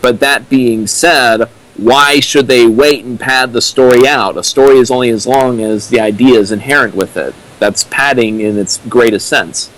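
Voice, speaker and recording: Dry, critical man, medium pitch. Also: moderate pace, few pauses, a bit bright, low-quality recording. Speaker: co-commentator. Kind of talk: casual critical commentary.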